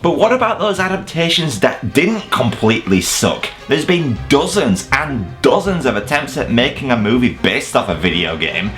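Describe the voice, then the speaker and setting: A man's voice: medium pitch, sing-song, moderate pace, few pauses, fairly bright tone, faint music behind. A British man hosting a video review, delivering scripted comedic countdown narration in a sarcastic, sweary, exaggerated way. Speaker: host of a video review channel.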